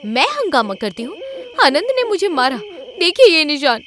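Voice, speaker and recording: A woman voicing indignant, wronged village wives who protest in an animated story. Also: high voice, normal speed, voice pushed up. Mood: aggrieved, protesting.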